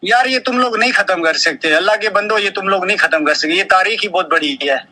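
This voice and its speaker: High, very sharp-voiced man scolding. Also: brisk, slight background noise. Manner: scolding, challenging, repetitive. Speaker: phone caller. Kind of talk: confrontational phone talk.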